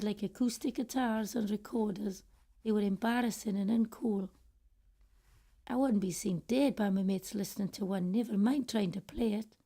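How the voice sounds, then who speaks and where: A woman's voice: mid-range voice, warm tone, natural voice. A woman acting a wistful, regretful middle-aged British woman in a confiding radio play monologue. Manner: reflective, confiding storytelling. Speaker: actor in a student radio play. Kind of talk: first-person radio drama monologue.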